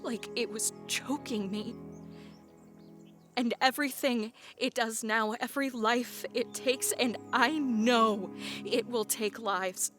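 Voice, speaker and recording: A woman's voice: on the high side, takes it slowly. A woman voicing a guarded young half-genie woman in an audio drama, with dry, sarcastic banter. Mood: troubled. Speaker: voice actor. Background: faint background music.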